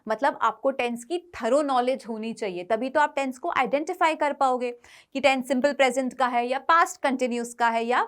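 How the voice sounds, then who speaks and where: A woman's voice: sits high, fairly quick, clear, resonant tone. A woman with an Indian accent giving an exam coaching lesson as an English grammar teacher, explaining by posing questions and answering them herself, switching between two languages.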